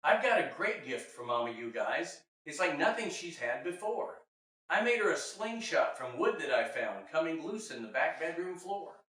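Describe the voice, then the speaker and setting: Guy as a proud scrappy tomboy kid in a storybook, lively, echoey room. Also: fairly high pitch, normal speed. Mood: eager, proud. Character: scrappy six-year-old tomboy girl, eager and proud. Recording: very echoey room, distant mic.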